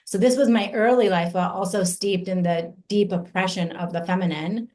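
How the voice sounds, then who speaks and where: A woman in her forties who grew up in Iran, giving an earnest personal testimony as an invited speaker, slow and deliberate with emphatic pauses. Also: medium pitch, steady tone, a little mellow.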